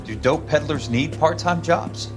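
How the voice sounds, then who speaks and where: An actor playing a hard-bitten war veteran who talks bluntly and scornfully. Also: male voice, on the high side, measured pace, natural voice. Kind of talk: video game cutscene acting. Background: music score.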